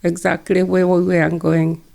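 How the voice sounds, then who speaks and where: A woman's voice: fairly low pitch, flat delivery, unhurried, gravelly, a little mellow. A woman in her seventies with a Kenyan accent, recalling her life in a conversational interview. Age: 70s. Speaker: interview guest, retired nurse and midwife. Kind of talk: conversational oral-history interview, recollecting.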